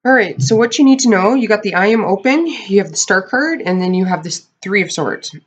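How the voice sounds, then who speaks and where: A tarot reader with a hoarse, crackling voice from losing it, giving a casual monthly reading to camera and often checking in with the viewers. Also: mid-range voice, expressive, brisk. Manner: chatty, often checks in with "okay?"; clears throat often.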